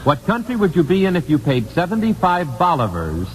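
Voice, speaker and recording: An American man hosting a live 1950s radio quiz, putting questions deliberately and repeating key phrases for emphasis on an old broadcast recording. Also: fairly high pitch, wildly swooping pitch. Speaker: quiz show host. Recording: old radio broadcast, hall reverb, hiss.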